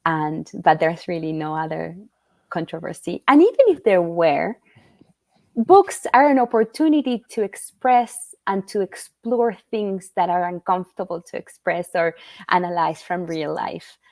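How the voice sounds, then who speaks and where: A woman's voice: lowish voice, expressive, unhurried, darkish tone, dry recording. A middle-aged woman from Argentina, a children's book author answering interview questions in an earnest, thoughtful way with frequent fillers and restarts. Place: at home in Utah.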